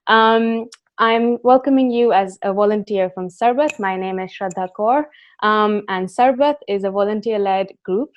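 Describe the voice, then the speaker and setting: Woman hosting a webinar, full of ums. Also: medium pitch. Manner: warm welcoming, many ums and restarts. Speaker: host and volunteer. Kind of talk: casual webinar chat. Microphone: computer mic on video call. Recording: dull lo-fi webinar audio.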